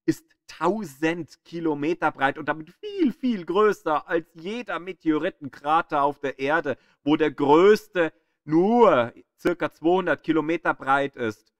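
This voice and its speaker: Animated, slow-paced male narrator, fairly high voice. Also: no echo. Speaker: narrator of an astronomy audio tour. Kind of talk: scripted educational narration.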